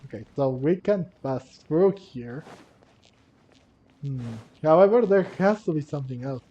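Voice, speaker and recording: A man's voice: on the high side, expressive, measured pace, mellow, lo-fi sound. A man giving casual playthrough commentary on a video game, musing hesitantly as he thinks aloud. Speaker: gaming video creator, player. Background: game music.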